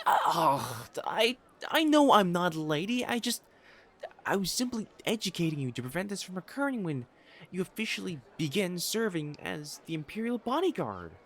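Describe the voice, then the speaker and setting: A man's voice: high voice, big pitch swings, moderate pace, full character voice. A man playing a pompous, easily flustered young divine matchmaker in a romantic audio roleplay, speaking formally and stammering. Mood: scolding, flustered. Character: haughty, flustered, lovestruck young male divine matchmaker in imperial China.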